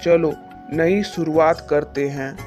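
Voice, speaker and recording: Humble farmer, slow and earnest. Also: male voice, a little high, steady tone, a little mellow. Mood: earnest, determined. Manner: pleading, earnest, respectful. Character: humble, earnest poor male farmer, determined. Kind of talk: moral-story cartoon dubbing. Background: background music.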